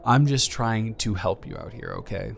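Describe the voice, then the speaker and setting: A man improvising a caring, flustered young ex-boyfriend in an intimate audio roleplay, speaking casually with stammers and halting phrases. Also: fairly low pitch, creaky, soft background music. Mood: gently teasing, caring.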